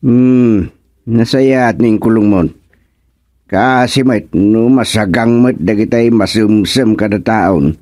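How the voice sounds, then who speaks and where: A man's voice: on the low side, slow pace, a little mellow, no echo, clean studio sound. A man with an Ilocano accent playing a suspicious, grumbling middle-aged village father in a radio comedy-drama. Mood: curious, grumbling. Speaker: radio serial actor.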